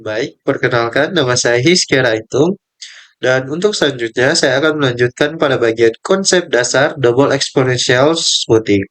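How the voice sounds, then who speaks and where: A man's voice: medium pitch, normal speed. A young male student presenting his part of a group lesson, reading out steps and table values with small slips and restarts. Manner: reads numbers in steady lists, with small slips and restarts.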